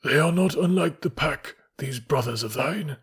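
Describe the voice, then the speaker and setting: Male reader as a storybook wolf, composed, wry, deliberate, archaic wording, lifted pitch. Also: sing-song, creaky, breathy edge, exaggerated voice. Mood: calm, wry.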